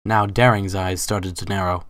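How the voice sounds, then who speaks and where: A man's voice: sits low, flat delivery, normal speed, a bit bright, no echo. A man narrating a scripted story as a dramatic reading.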